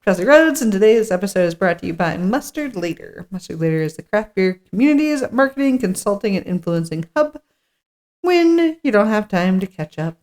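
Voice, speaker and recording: A woman's voice: medium pitch, very animated, a little fast, a little mellow. A chatty, giggly woman co-hosting a podcast, moving between reading a script and casual banter. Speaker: podcast co-host. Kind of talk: casual table read with banter.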